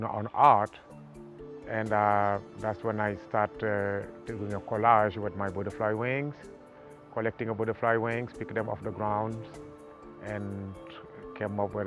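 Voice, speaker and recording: A man from Senegal with a Senegalese accent, talking haltingly about his art in a documentary interview, with many pauses and fillers. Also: lowish voice, a bit bright. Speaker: artist.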